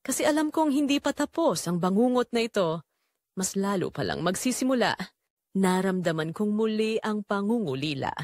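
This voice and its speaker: A woman acts out a remorseful Filipina mother in a radio-style audio drama. Her mid-pitched voice rises and falls dramatically, anguished and confessional, in a close, dry recording. The accent is Filipino and the delivery is solemn storytelling. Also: dry recording. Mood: shaken dread, then torn, fearful. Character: guilt-ridden Filipina mother and wife confessing her betrayal. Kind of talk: dramatic first-person audio drama narration.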